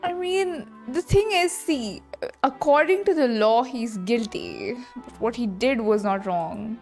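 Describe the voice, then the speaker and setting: A woman streamer with a fairly high voice reacts casually to a game. She speaks a bit slowly and haltingly, thinking aloud, with soft music in the background. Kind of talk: casual live playthrough commentary.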